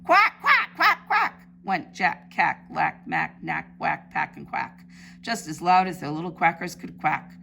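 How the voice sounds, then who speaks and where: A woman reading a children's storybook aloud in an expressive, emphatic preschool-teacher style. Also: sits low, a little slow, vocal fry, slight background noise.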